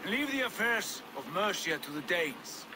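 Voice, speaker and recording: An actor playing a proud, sly Viking leader in a game cutscene, taunting yet grandly courteous. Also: male voice, high-pitched, very animated, normal speed, background noise. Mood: taunting, bargaining. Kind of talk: video game cutscene acting.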